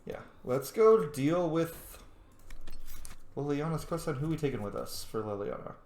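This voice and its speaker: A man's voice: a little high, expressive, unhurried, a little mellow, roomy sound. A man hosting a gaming channel, giving informal let's play commentary and thinking aloud with some swearing.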